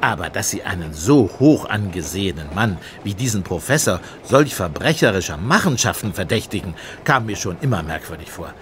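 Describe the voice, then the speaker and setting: Deep-voiced, grumbling police inspector. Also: male voice, big pitch swings, a little fast, few pauses. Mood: sceptical, firm. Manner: grumbling, blunt, sardonic. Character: grumbling, sceptical male Scotland Yard police inspector. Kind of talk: German radio play dialogue. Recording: train rumble behind the voice.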